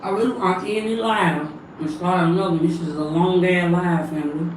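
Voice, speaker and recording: A raspy, hoarse woman talks loosely to her livestream viewers and calls them family. She sits a little away from the mic in an echoey home room, with faint ambience behind her. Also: lowish voice, fairly even intonation, a little fast, far from the mic, background noise. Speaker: livestream spiritual reader. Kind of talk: casual livestream chat.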